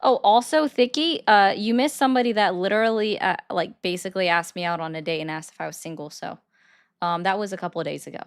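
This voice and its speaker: A woman hosting a political call-in livestream, arguing bluntly with callers and mocking them. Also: medium pitch. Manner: blunt, argumentative, quick to mock. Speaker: livestream host taking callers.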